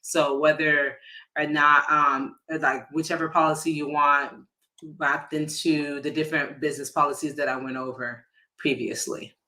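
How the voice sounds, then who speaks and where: A man working as an insurance agent, explaining policies casually on a livestream, often pausing with fillers and restarting his sentences. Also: fairly high pitch, level tone, bright, forceful tone, rough recording. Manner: hesitant, many fillers and restarts; addresses viewers informally.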